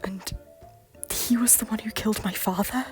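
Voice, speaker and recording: A woman's voice: mid-range voice, steady tone, normal speed, husky, soft background music. A woman voicing an anxious young Scottish prince with a worried, apologetic manner. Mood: flustered. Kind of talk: fan audio drama acting.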